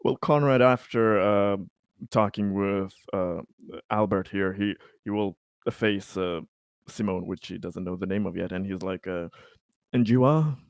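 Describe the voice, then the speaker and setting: A man playing a tabletop roleplaying game, casually improvising his character in a halting way, pausing to find words. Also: deep voice, gravelly, clear, resonant tone, no echo, studio quality.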